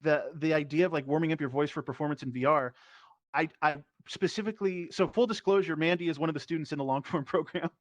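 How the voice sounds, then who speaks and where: A man's voice: a little high, fast, no echo. A man teaching performance, speaking reflectively and explaining his thoughts in a casual panel talk over a VR headset microphone.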